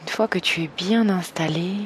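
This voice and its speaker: A woman guiding an evening meditation slowly and gently, in a soft, breathy voice that nears a whisper, with long pauses. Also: on the low side, slight background noise. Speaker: meditation guide. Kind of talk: guided evening meditation, yoga nidra.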